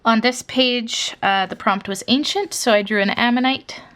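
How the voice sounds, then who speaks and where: A woman's voice: fairly high pitch, moderate pace, a bit bright. A young woman from Canada chatting casually through a sketchbook flip-through, rambling with lots of fillers. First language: English. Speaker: artist showing her sketchbook.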